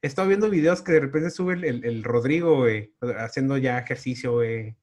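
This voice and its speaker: A man's voice: medium pitch, brisk, a little mellow. A man in his forties with a Mexican accent hosting a podcast in a casual, slangy way and swearing freely. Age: 40s. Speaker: podcast host. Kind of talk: podcast introduction and casual interview chat.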